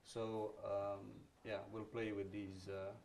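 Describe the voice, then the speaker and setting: A man's voice: fairly low pitch, flat delivery, slow pace, a little mellow, slight background noise. A man moderating an onstage conversation, chatty, with many fillers and false starts.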